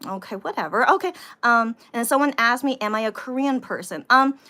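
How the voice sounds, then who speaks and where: An American woman hosting a casual livestream, rambling to viewers and repeating herself with animated emphasis, sounding somewhat tired. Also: on the high side, brisk. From United States. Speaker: livestream host, vlogger.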